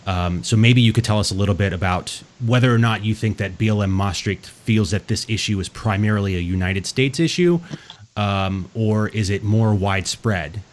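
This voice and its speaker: A man interviewing a guest, asking his questions in a measured, hesitant way with many fillers. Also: fairly low pitch.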